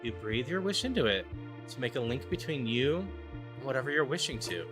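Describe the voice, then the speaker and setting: A halting, unsure young traveler from a story game, played by a man reading aloud, stretches out phrases softly and defensively. Music sits clearly behind the voice. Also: medium pitch, animated, lightly acted. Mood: halting, earnest.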